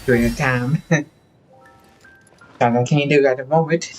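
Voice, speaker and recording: A man livestreaming a game, making casual, low-key running remarks as he plays. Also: fairly even intonation, measured pace, faint music behind. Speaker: game streamer. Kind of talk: casual livestream gameplay chat.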